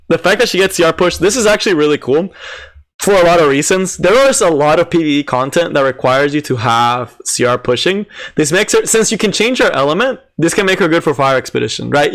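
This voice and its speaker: Raspy-voiced man, chatty game streamer. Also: on the high side. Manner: chatty, answers chat, many "like" fillers; deliberately subdued, near monotone. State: raspy, strained voice. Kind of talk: casual live-stream patch review.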